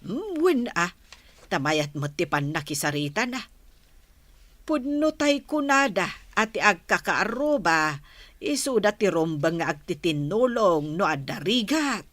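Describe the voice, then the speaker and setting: Actress as caring, chatty village mother, unhurried delivery, dry close sound, radio drama. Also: lowish voice, sing-song, a bit bright, no room sound, full character voice. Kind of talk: Ilocano radio-style drama acting.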